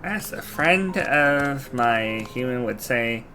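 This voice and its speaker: A man's voice: mid-range voice, animated, slow pace. A man giving casual, playful playthrough commentary as a game streamer, sometimes in cutesy phrasing. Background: game music.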